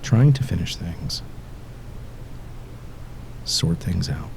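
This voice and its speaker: A man narrating a guided sleep hypnosis session in a soothing, measured way, pausing between short phrases and letting endings drop softly toward a whisper. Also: creaky. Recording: steady background noise, low rumble and ticking. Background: ticking clock-like rhythm.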